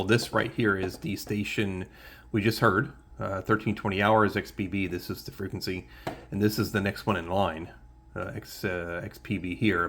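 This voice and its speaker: Low-voiced man giving a halting casual demonstration. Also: a bit bright, slight background noise. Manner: halting, thinking aloud as he demonstrates. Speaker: shortwave radio hobbyist presenter.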